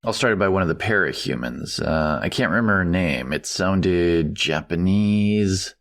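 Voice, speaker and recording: A man playing a polite, worried middle-aged father who speaks haltingly and gratefully in an audiobook. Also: low voice, animated, full character voice. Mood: concerned. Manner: halting, polite, soft-spoken hesitations. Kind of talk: audiobook dialogue acting.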